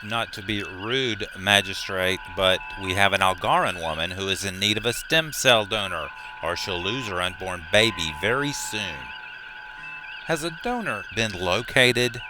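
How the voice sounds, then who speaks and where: A man's voice: fairly low pitch, expressive, a little slow. A man playing a firm, earnest starship captain in a sci-fi audio drama. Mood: formal, pressing. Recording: music bed behind the voice.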